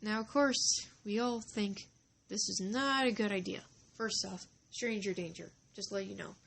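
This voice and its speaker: A woman narrating a story informally, halting and deliberate, with dramatic pauses between short phrases. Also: mid-range voice, gravelly, fairly bright tone.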